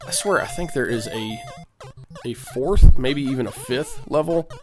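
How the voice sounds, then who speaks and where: A man with a cold giving rambling, casual commentary while playing an old video game, often clearing his throat and using fillers. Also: mid-range voice, lively intonation, a little slow. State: has a cold. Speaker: let's play host. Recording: game music behind the voice.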